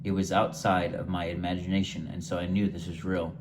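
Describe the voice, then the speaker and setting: A man with a very low, deep voice reads his own short story in a soft, unhurried manner, stumbling now and then over a word. A steady hiss of background noise runs under his reading. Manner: unhurried, gentle storytelling read from a page with occasional stumbles. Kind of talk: first-person short story read aloud.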